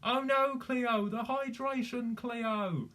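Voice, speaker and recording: A man voicing a panicky, shrill man with a put-on Australian accent, wailing the same words over and over. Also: fairly even intonation, slow, bright, forceful tone, heavily acted. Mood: panicked. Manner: frantic, repetitive wailing.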